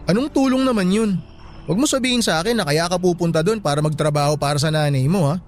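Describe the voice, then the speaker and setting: A man's voice: high voice, fast, natural voice. A man playing an easygoing young best friend in a radio drama. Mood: concerned.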